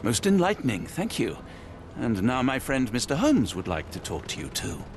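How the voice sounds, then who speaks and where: An actor playing a kindly, courteous British doctor in a video game, reassuring and earnest. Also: male voice, medium pitch, huge pitch swings, normal speed, a little hiss or hum. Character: kindly, courteous gentleman doctor, the detective's companion.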